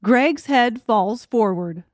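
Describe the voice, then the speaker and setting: A woman reading scripted narration for a dark thriller audiobook. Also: on the high side, expressive, slow pace, dry recording, clean studio sound.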